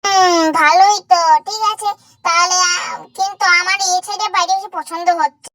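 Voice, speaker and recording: A woman putting on the voice of a bossy female game character who hands out quick orders. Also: very high-pitched, fairly even intonation, bright, forceful tone, dry recording, voice pushed up.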